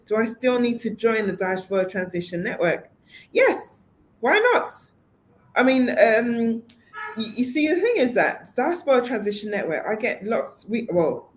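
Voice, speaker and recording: A woman's voice: medium pitch, a little hiss or hum. A woman organizing a network event, talking casually to her audience in a conversational way, with many fillers and restarts. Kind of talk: casual online talk to an audience.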